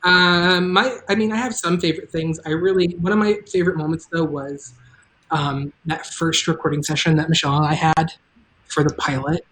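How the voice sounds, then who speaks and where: A show creator hosting a live stream, talking casually and haltingly about making the show, with offhand asides. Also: medium pitch, fairly even intonation, a bit bright, low-quality recording. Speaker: show creator and table read host.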